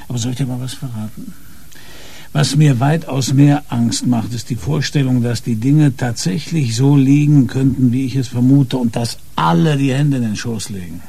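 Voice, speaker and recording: An actor in a radio crime drama playing a brooding, stubborn police inspector with a husky, gravelly voice and weighty delivery. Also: male voice, medium pitch, measured pace, mellow.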